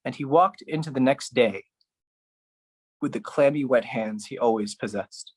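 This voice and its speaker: Slow, deliberate theatrical solo performer. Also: medium pitch, slightly rough. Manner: dramatic, deliberate phrasing with pauses and repetition. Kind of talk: theatrical poetic monologue, spoken-word solo performance.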